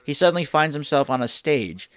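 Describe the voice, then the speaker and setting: A man's voice: a little high, expressive, fairly quick, clear, resonant tone, no echo. A man hosting a reaction show, talking casually and thinking aloud as he gives rambling commentary.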